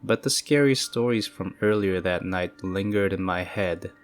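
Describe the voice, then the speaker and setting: A man giving calm, measured, scripted narration of creepy first-person stories. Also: low voice. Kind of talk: scripted horror story narration. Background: faint eerie music.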